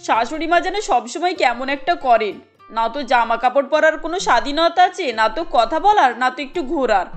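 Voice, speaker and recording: A woman voicing a cautious, resentful eldest daughter-in-law in an animated family story, admonishing and complaining. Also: high voice, normal speed, faint music behind. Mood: fed up.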